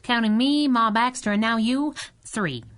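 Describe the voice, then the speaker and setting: A woman's voice: fairly high pitch, a little slow, a bit bright. An actress playing a feisty, wisecracking young female sidekick in a 1930s-style radio drama, slangy and streetwise. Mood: urgent, sassy.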